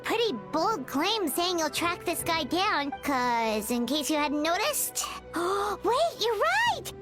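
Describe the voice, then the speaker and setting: A woman voicing a tiny, childlike fairy companion in a video game, squeaky, excitable and bossy, with exaggerated delivery. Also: slow pace, music in the background, heavily acted. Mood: excited, eager.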